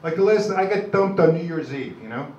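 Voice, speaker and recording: An older man with a New York accent does halting, self-deprecating, rambling stand-up comedy. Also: on the high side, expressive, darkish tone, recorded from a distance. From Brooklyn, New York, USA. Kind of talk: live stand-up comedy, crude jokes. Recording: dull, noisy, echoey club recording from afar.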